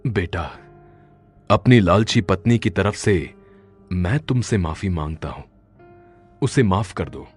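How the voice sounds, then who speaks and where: A man playing a gentle, henpecked older village father-in-law in a cartoon horror story, earnest and complaining. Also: sits low, animated, slow, long pauses, husky, faint music behind, exaggerated voice. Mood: apologetic. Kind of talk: Hindi animated horror story acting.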